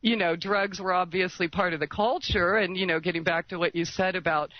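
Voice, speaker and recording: A woman's voice: fairly low pitch, steady tone, brisk, no room sound. A woman talking insistently in an unscripted radio discussion, rambling and piling up lists. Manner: insistent, rambling, list-making. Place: call to an internet talk show. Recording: dull lo-fi internet radio call audio.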